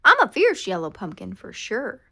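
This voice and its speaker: A woman's voice: medium pitch, huge pitch swings, moderate pace, gravelly, sharp tone, heavily acted. A woman voicing a small, proud storybook pumpkin who boasts gleefully of being fierce, with jolly ho-ho laughter. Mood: gleeful boasting. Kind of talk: storybook character voice.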